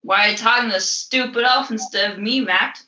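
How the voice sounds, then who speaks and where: A woman's voice: on the high side, brisk, bright, forceful tone, echoey room, highs cut off. A woman voicing a drunken, bitter pirate shipmate from a comic, rambling and melodramatic. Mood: suspicious, mutinous.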